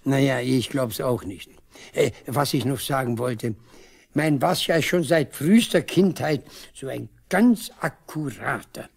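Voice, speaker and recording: Creaky-voiced, devoted old village father. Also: medium pitch, measured pace. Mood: impatient, pleading. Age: older. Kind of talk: 1970s East German radio drama acting.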